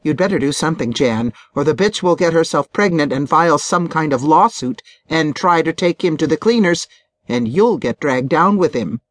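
Audiobook role: crude, gossipy best friend, female, acted by a woman narrator. Lower register, animated, brash, scolding. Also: normal speed, dry recording. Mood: scornful, urging. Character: blunt, gossipy, crude middle-aged girlfriend confidante.

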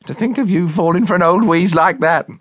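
Man putting on a gushing, high-pitched woman cook. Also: brisk, no room sound. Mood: chatty, cheery. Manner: gushing, exclamatory, gossipy. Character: big uninhibited chatty woman cook, excitable.

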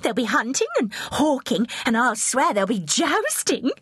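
A woman's voice: a little high, sing-song, slightly rough, no room sound, voice pushed up. A woman voicing a young English princess, girlish, vivacious and impulsive, in an audiobook. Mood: excited, affectionate. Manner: gushing, emphatic, rapid changes of feeling.

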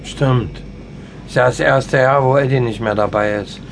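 A man's voice: medium pitch, fairly even intonation, moderate pace, vocal fry, noisy background, natural voice. An actor playing a nostalgic, insistent village man in a radio play, speaking colloquially and emphatically.

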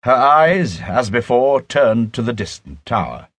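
Husky man narrating with lively intonation. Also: medium pitch, a little slow, no room sound, studio quality. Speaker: audiobook narrator. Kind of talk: scripted literary narration.

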